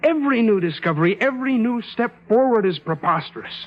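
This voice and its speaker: A dull-sounding vintage radio recording features a bereaved man with a fairly high voice. He is earnest and stubbornly convinced, pressing his case with desperate insistence in fifties radio drama style. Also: animated, fast talker. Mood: persuasive, intense. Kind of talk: 1950s radio drama acting.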